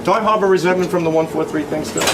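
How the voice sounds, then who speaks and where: A man's voice: fairly high pitch, fairly even intonation, very fast, heavy background noise. An American man being interviewed in casual, conversational speech. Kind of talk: casual chat.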